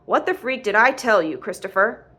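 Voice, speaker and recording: A woman voicing an angry, exasperated father scolding his son in an audiobook, in a forceful manner. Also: on the high side, animated, normal speed, slightly rough, exaggerated voice. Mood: angry disbelief. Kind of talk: audiobook character dialogue.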